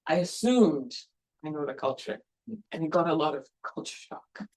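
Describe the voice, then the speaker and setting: A woman's voice: sits low, animated, moderate pace, darkish tone, breathy edge, low-quality recording. A woman from Kenya, a missionary planting a church, speaking earnestly at a mission event with many ums and you knows.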